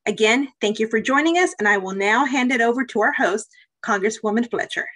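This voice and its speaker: A woman opens a community meeting with a polite, scripted welcome. Her delivery is formal and her voice sits fairly high. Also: fairly quick, a bit bright. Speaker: district director opening a town hall.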